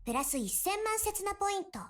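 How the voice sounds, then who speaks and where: A woman's voice: very high voice, very animated, very fast. A woman voicing a teasing, haughty young lady in an anime-style visual novel. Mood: playful teasing. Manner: playful, teasing, mock-imperious. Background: game music.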